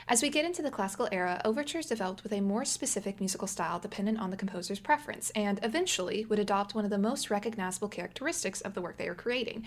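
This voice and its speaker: A woman reading prepared explanatory commentary as a podcast contributor, articulate and lecture-like. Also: mid-range voice.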